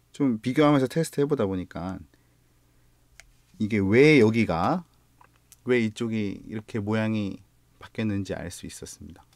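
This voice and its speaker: Man with a Gyeongsang accent, low and dry. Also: no echo. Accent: Gyeongsang Korean. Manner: relaxed, explanatory, slightly halting with self-corrections. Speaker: guitar gear reviewer. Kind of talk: casual product review vlog.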